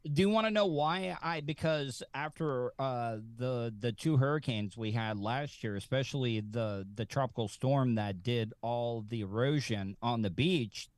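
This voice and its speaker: Mid-pitched man chatting in a dry studio. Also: measured pace, hardly any pauses, no room sound. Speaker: talk show guest. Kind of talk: casual chat.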